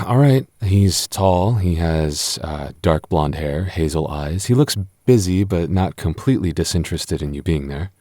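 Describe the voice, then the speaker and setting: A low-pitched, exasperated young dungeon master played by a man, the tsundere childhood friend of an audio roleplay. He sounds put-upon and hesitant, speaking in a distinctly deep register. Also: expressive, measured pace, warm tone, dry recording, clean studio sound. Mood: flustered. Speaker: game master. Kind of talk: ASMR audio roleplay acting.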